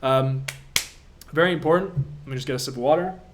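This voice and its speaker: A man's voice: mid-range voice, brisk, heavy background noise. A young man from Latvia, an online business coach answering viewer questions on a live stream, emphatic and pushy, repeating himself for effect.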